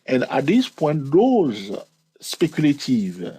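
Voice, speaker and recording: Man, trading lesson, husky tone, lively swinging pitch, drawn-out emphasis. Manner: stretches key words, repeats counts, laughs at own jokes. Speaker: trading educator and channel host. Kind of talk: screen-recorded chart lesson.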